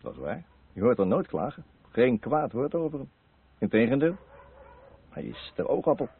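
A man's voice: mid-range voice, very animated, normal speed, husky. An actor playing a mild, simple, good-natured male neighbour in a naturalistic radio play, a little hesitant in speech. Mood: defensive. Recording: muffled narrow-band old radio recording.

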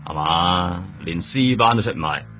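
A man acting a gruff, wry veteran policeman in a radio drama, offhand and teasing, with a Hong Kong Cantonese accent. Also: low voice, animated, measured pace, over music. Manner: offhand, teasing, slangy, sighing.

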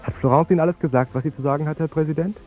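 An actor playing a cheerful, guileless young fiancé who talks on with needling politeness in a 1950s radio play. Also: male voice, fairly high pitch, very fast, close to an everyday voice. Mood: polite needling. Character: Jerome, cheerful, naively chatty young fiancé. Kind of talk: 1950s German radio drama acting. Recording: dull 1950s radio recording, music behind.

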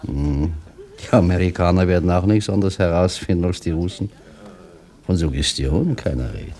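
An actor playing an educated coffeehouse regular, chatting conversationally in a radio play. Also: male voice, low-pitched, normal speed, mellow, close to an everyday voice. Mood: opinionated.

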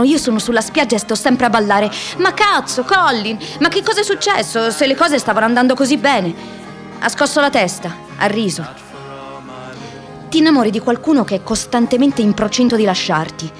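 An actress playing a restless, wry young American woman activist in an expressive, introspective radio drama monologue. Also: fairly high pitch, lightly acted. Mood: hurt, agitated. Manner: expressive, introspective, shifts between playful and wistful.

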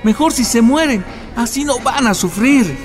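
A woman's voice: a little high, expressive, normal speed, faint music behind, natural voice. An actress playing a pitying, fatalistic neighbour who belittles girls in a radio soap opera. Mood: mournful, scornful.

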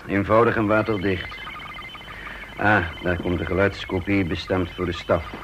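Low-voiced confident secret agent. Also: male voice, flat delivery, a little slow. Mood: brisk, satisfied. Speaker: actor in a radio play. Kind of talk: Dutch radio drama acting and narration. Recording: noisy vintage radio recording.

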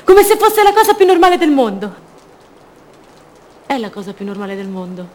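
High-voiced, lovestruck fragile woman. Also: sing-song, normal speed, darkish tone, slight background noise. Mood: passionate, defiant. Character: madly in love, impulsive, fragile confined woman. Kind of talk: poetic stage monologue acted for radio theatre.

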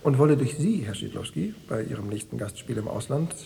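A man in a radio play acting a smooth, insinuating East German secret police officer, falsely reassuring and bureaucratic. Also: mid-range voice, very animated, a little fast, dark tone, long reverb tail. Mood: insinuating, veiled threat.

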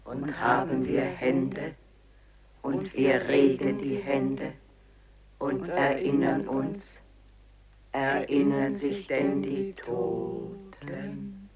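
An actor playing a solemn man who muses gravely on memory, in measured poetic radio play recitation. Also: mid-range voice, fairly even intonation, dull audio, over a noisy crowd. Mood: grave, wondering.

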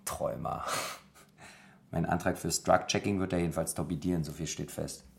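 An actor in a radio drama playing an earnest, idealistic local politician who speaks articulately. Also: male voice, a bass voice, normal speed, vocal fry, heavily acted. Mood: wry, frustrated. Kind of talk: naturalistic German radio drama.

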